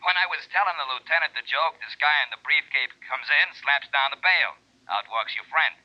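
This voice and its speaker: An actor playing a cheerful, chatty police sergeant over a telephone line in a 1940s radio drama. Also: male voice, a little high, brisk. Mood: matter-of-fact. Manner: chummy, easygoing. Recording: thin telephone sound, lo-fi old radio.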